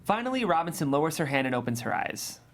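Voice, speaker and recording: Narrator reading out script directions at a table read, middle pitch, descriptive and deliberate. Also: big pitch swings. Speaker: stage-direction reader.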